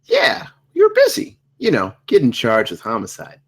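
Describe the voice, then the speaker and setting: Man doing a husky, swooping, dim slacker. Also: mid-range voice, wildly swooping pitch, moderate pace. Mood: casual. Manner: rambling, muddled. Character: dim, rambling, earnest slacker defendant. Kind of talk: table read of a comedy script.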